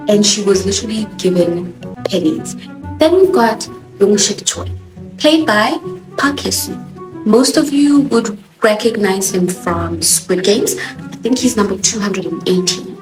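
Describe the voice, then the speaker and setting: A young Swahili-speaking woman with a Johannesburg accent hosts a casual, opinionated review, punching her words with animated emphasis. Her voice sits in a middle range and rings in an echoey room. Music plays clearly behind her. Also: mid-range voice, a little slow. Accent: South African (Johannesburg). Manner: expressive, sassy, animated emphasis. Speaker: TV show reviewer, host.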